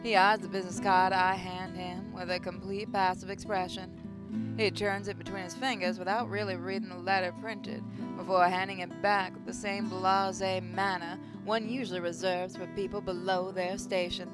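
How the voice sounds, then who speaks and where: A woman reading a visual novel aloud as expressive first-person story narration. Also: medium pitch, moderate pace. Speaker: gaming channel host reading a visual novel. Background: guitar music.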